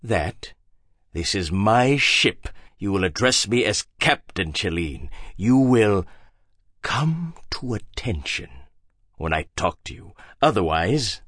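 Audiobook role: cold ship captain, played by a man, low, hushed, measured menace, lively swings. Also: dry recording, clean studio sound, exaggerated voice. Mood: stern. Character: stern commanding male ship captain, cold, manipulative. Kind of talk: audiobook character voice.

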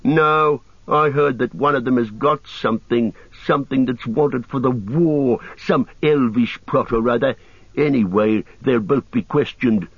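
A man's voice: medium pitch, a little slow, breathy edge, voice pushed up. A man voicing a quarrelsome, bloodthirsty small orc, whining and complaining in a storybook reading. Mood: grumbling. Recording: roomy, dull lo-fi sound.

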